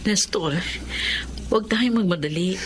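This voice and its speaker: A woman's voice: mid-range voice, unhurried, slight background noise, close to an everyday voice. An actress playing a remorseful, devoted wife and mother, earnest and emotional, in a Tagalog radio drama. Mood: calming, hopeful.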